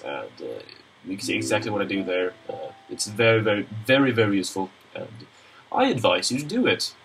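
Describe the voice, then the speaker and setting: A man's voice: on the low side, fairly bright tone, a little hiss or hum, rough recording. A young man with a Swedish accent, nasal from a cold, narrating a screen-recorded modeling tutorial in a rambling, chatty way with many fillers. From Sweden.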